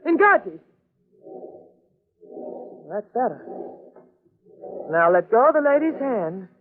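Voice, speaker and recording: A spirited, fond young-at-heart wife in a vintage radio play. She is playful and coaxing, with a lively, swooping delivery on a narrow, tinny recording. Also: on the high side, takes it slowly. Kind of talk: old-time radio drama acting.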